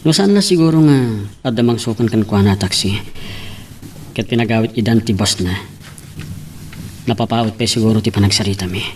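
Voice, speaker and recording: A man's voice: fairly low pitch, a little fast, pauses often, a little mellow, slight background noise, close to an everyday voice. A man with an Ilocano accent playing a lovestruck young architect, earnest and tender, in a romantic audio drama. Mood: musing, smitten. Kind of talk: Ilocano radio-style romantic drama acting.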